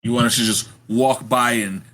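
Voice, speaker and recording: Male game player, very bright tone, casual joking banter with a theatrical streak. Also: medium pitch, steady tone, moderate pace. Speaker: player in a tabletop role-playing game.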